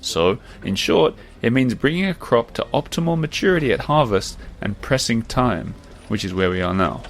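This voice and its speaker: A man speaking interview answers as a voice-over, explaining his vineyard work in a measured way. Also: medium pitch, expressive, slight background noise.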